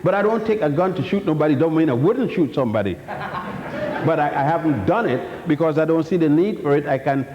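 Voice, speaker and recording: A man's voice: a little high, lively intonation, brisk, a crowd in the background. An older man from Ethiopia giving a public history lecture, blunt and defiant, rambling with many restarts. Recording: echoey hall, dull lo-fi sound.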